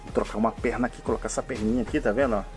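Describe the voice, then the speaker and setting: A man's voice: fairly low pitch, fairly even intonation, very fast, over music. A man with a mixed São Paulo and Rio accent, chatting through a casual toy review, full of tag questions and cheerful asides. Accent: mixed São Paulo and Rio de Janeiro Brazilian Portuguese. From Brazil. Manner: chatty, fills with "né?" and "hein?", enthusiastic.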